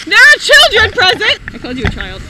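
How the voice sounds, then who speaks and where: A young woman vlogging with an action camera while skiing outdoors, chatty, excitable and teasing. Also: very high voice, brisk. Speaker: vlogger filming ski club. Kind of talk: casual chat while skiing. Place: outdoor ski slope. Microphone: action camera worn or handheld. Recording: loud wind noise outdoors.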